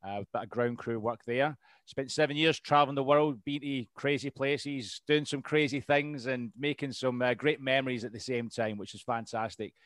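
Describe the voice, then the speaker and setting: A Scottish man in his thirties talking as an interview guest, with a rambling, easygoing way of speaking full of ums and restarts. His voice sits at an everyday middle pitch. The sound is close and dry, with no room echo. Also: fairly even intonation, moderate pace, a bit bright. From Scotland. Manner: rambling, lots of ums and restarts, laughs easily. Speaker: podcast guest, RAF veteran. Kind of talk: casual interview chat.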